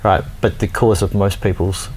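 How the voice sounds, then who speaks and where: Low male co-host, rambling and opinionated, lots of restarts, faint hiss. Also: little pitch movement, moderate pace, darkish tone. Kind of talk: casual chat.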